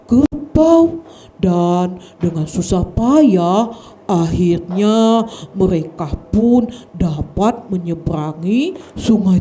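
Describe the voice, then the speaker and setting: A woman telling a children's story in a lively, sing-song way, often addressing the children as friends. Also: mid-range voice.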